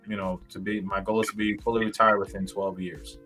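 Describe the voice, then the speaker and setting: A man's voice: on the low side, level tone, a little fast, a bit bright, faint music behind. A man in his thirties, a guest in a casual interview, rambling with many fillers and restarts. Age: 30s.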